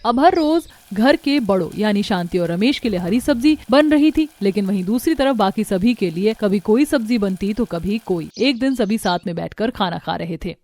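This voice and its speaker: A woman's voice: medium pitch, animated, moderate pace, few pauses, darkish tone. A woman reading scripted narration for a family cartoon story.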